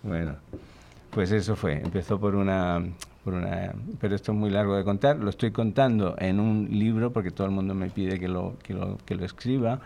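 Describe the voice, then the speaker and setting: A man in his sixties from Chile answers an interviewer in a low voice. He is thoughtful and a little hesitant, with fillers and restarts as he searches for the right words, and he reflects on his own life as he speaks. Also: fairly even intonation, moderate pace, vocal fry, mellow. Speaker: guest, father of a large family.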